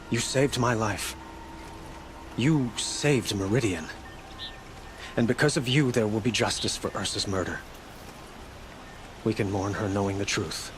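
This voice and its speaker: An actor playing a gentle, grieving young king in a game cutscene, speaking formally with measured, hesitant sincerity. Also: lowish voice, background noise, natural voice. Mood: earnest gratitude. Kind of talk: dramatic video game cutscene acting. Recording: music behind the voice.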